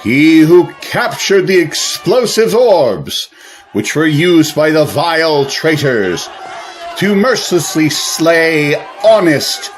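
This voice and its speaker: A man's voice: fairly high pitch, sing-song, takes it slowly, some chatter behind, slight background noise, rough recording. A man playing a deferential royal servant and herald in an audio drama, speaking formally. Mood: proud, proclaiming.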